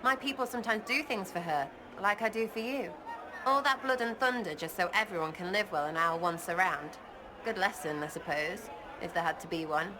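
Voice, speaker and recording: A woman's voice: mid-range voice, a little fast, lightly acted, rough recording. A woman playing a scrappy, irreverent rogue in a video game, blunt and colloquial. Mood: reflective. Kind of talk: video game character dialogue. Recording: crowd and noise in background.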